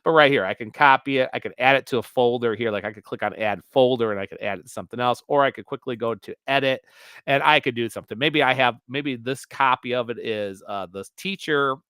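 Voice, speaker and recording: A male teacher with a naturally loud voice, walking through a screen-recorded software tutorial in a rambling way full of fillers and restarts. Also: medium pitch, fairly quick, clear, resonant tone, no room sound.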